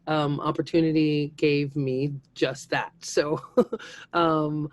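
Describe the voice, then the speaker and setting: A woman's voice: low voice, flat delivery, slow pace. A woman who works as a stage actor, speaking casually and explaining things in a conversational way. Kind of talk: casual spoken interview.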